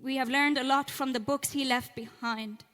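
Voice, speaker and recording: A woman's voice: high-pitched, steady tone, moderate pace, sharp tone, long reverb tail. A woman acting in a live horror audio drama, playing someone reading an eerie message onstage in a cellar venue. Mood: eerie, menacing. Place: cellar performance venue before an audience.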